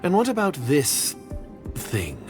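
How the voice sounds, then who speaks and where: A man's voice: fairly high pitch, wildly swooping pitch, takes it slowly, over music, full character voice. A man voicing a cold, wary, scheming foreign agent with a formal, condescending manner in a video game. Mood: scornful.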